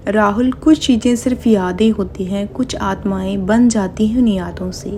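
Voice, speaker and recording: A woman narrates a scripted horror tale. Her voice is medium in pitch, mellow and unhurried, with a little noise in the background.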